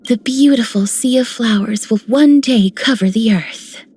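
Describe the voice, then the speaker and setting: A woman voicing a gentle, reverent young priestess with a soft, tender manner in a video game. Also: on the high side, a little slow, breathy edge.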